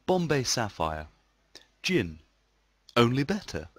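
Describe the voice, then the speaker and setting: A man's voice: mid-range voice, big pitch swings, takes it slowly, fairly bright tone, dry recording, clean studio sound. A man, an amateur voice actor, delivering polished radio commercial reads.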